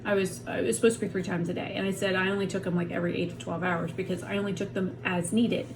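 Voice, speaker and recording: A frank, rambling woman talks to her camera from home while she is in pain, and her voice sits a little low. The home recording carries a clear layer of background noise under her talk. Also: flat delivery, fast talker. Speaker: vlogger. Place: at home on a heating pad.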